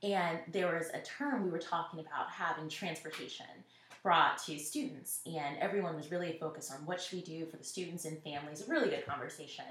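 Low-voiced woman in an echoey room. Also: a little fast, a bit bright. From Nashville, Tennessee. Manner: earnest, reflective, quoting others in anecdotes. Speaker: interview guest, school network executive. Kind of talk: conversational interview answers. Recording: roomy echo.

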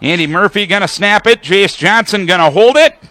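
A male American radio announcer doing live football play-by-play. His voice sits high and has a bright, forceful edge. He lays out the action in precise, clipped phrases. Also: lively intonation, a little fast. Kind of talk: live sports play-by-play commentary.

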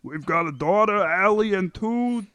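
A man's voice: high voice, expressive, unhurried, fairly bright tone, no room sound. A man playing a whiny, put-upon sitcom husband and father in a comedic table read, with an exasperated, pleading delivery. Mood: chatty, explaining.

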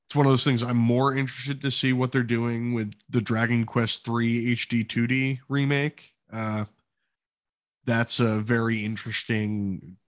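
A man co-hosting a gaming podcast, chatting casually and informally with enthusiastic, opinionated remarks. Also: medium pitch. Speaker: podcast co-host.

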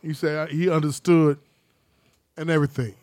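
Halting man in his fifties, higher voice. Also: flat delivery, darkish tone, dry recording. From Chicago South Side. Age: 50s. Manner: halting, stumbles and restarts. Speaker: podcast co-host. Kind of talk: casual chat.

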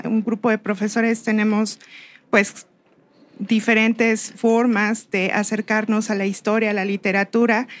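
A woman with a central Mexican accent speaks at a middle pitch. She sounds like a teacher explaining a project as an interview guest.

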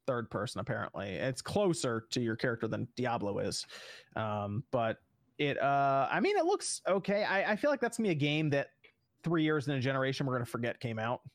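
A man's voice: medium pitch, sing-song, brisk, no room sound, studio quality. A man hosting a casual gaming podcast, talking loosely with many fillers and chuckles. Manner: rambling, fillers, chuckles mid-sentence. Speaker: podcast host.

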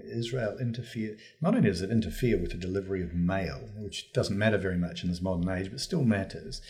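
A man being interviewed in an unscripted discussion, speaking in a halting, searching way and often reworking his phrases. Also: fairly low pitch, a little mellow. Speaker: interviewee giving his views on politics.

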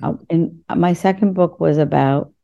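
A woman chatting informally and with animation on a podcast, pausing and slipping in asides as she talks. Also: fairly low pitch, vocal fry, mellow, no room sound, lo-fi sound. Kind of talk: casual conversational talk.

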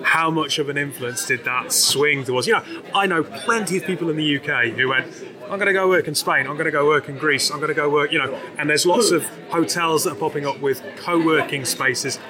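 A man hosting a casual interview, chatty and asking quick follow-up questions. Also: a little high, crowd noise. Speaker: interview host.

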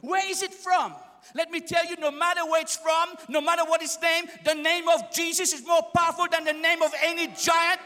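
A young man preaching a sermon in a church, speaking English as a second language, fiery and often shouting in call-and-response with the congregation. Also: very high voice, level tone, brisk. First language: not English. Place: church hall. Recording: echoey church hall reverb.

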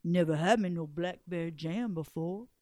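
A woman voicing a scruffy, mischievous old man in a told folktale, speaking in a gruff, folksy way. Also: on the low side, moderate pace, dark tone, no room sound, studio quality, voice pushed down, close to an everyday voice. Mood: gloating.

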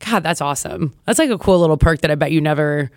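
A woman's voice: low voice, animated, fast. A woman interviewing as a co-host in an informal, chatty, enthusiastic way. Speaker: radio show co-host and interviewer. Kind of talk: casual chat.

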